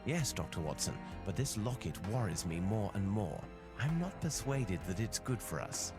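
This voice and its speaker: Grave, low-voiced gentleman detective, music behind. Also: sing-song. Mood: grave, pensive. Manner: measured, formal, deductive. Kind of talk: video game cutscene acting. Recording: loud music under the voice.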